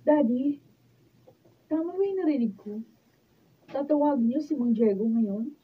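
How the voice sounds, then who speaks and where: A woman's voice: high-pitched, animated, unhurried. An actress with a Filipino accent playing a tender, emotional wife and mother in a radio drama. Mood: earnest. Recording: tinny, noisy studio camera recording.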